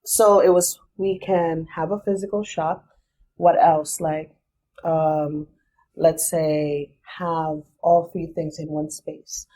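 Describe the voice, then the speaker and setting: A woman's voice: sits low, little pitch movement, takes it slowly, dark tone, low-quality recording. A young adult woman and business founder, a podcast guest, explaining things step by step in a thoughtful, casual way. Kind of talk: casual interview.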